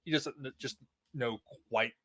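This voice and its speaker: A man's voice: mid-range voice, unhurried, a bit bright. A man speaking as a podcast guest in a casual discussion. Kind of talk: casual chat, TV episode discussion.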